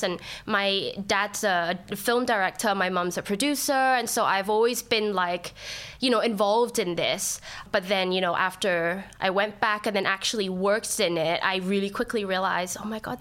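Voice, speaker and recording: A woman's voice: mid-range voice, a bit bright. A woman in her thirties from Thailand with an international school accent, chatting reflectively as a podcast guest. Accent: international school English. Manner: chatty, reflective, many fillers. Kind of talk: casual interview.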